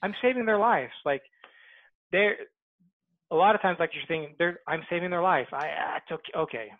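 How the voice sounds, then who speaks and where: A man's voice: sits high, normal speed. A man speaking as an interview guest over a headset on a video call. He argues persuasively and comes back to his core point again and again. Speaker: guest, book author. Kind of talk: interview answers. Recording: dry, tinny phone-like call audio.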